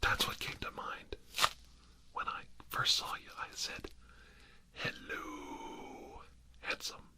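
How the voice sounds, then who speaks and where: Slow, soft coaxing ASMR whisperer. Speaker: ASMR performer playing a caretaker. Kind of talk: ASMR roleplay.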